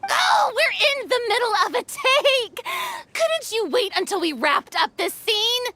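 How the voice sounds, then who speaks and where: A woman playing a theatrical, high-spirited young film director in a video game, dramatic and commanding. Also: very animated, measured pace, pushed and bright, no echo, heavily acted. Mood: flustered. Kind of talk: animated video game voice acting.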